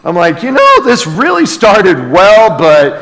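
A male pastor gives a conversational sermon in a fairly high voice. He takes his time, speaking in a folksy, check-in style, and his words ring with long reverb from a large hall. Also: wildly swooping pitch, takes it slowly, a bit bright. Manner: folksy, asks rhetorical check-in questions. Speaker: pastor preaching. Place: large reverberant room, preaching to a congregation.

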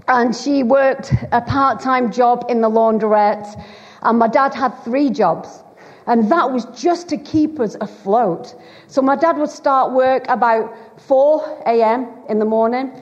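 A middle-aged woman with a Lancashire accent, preaching a chatty, teasing sermon to a church congregation. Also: on the high side. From Bolton, Greater Manchester, England. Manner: chatty, teasing, self-deprecating. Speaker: guest preacher. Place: church hall. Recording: dull, long hall reverb.

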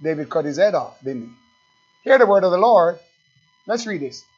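A high-pitched man preaches from the pulpit, dropping to low asides and then surging loud. He slows to lean hard on scripture and keeps firing questions at his listeners. The recording is dull and lo-fi. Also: lively intonation, husky, soft background music. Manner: reads scripture slowly and with emphasis; talks straight to the congregation with questions. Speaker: pastor. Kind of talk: fiery sermon.

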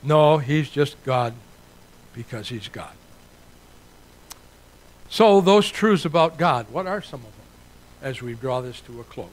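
A man's voice: mid-range voice, animated, slow, pauses often, rough recording. An older man born in Italy, preaching a sermon to a church in an earnest, emphatic way, building points through repeated lists. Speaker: guest preacher.

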